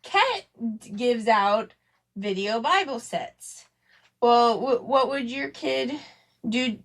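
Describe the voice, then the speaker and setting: A woman with a fairly high-pitched voice talks casually as a podcast co-host. She rambles gently, thinking aloud as she builds each idea. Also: expressive, slow pace. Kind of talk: casual podcast chat about family devotions.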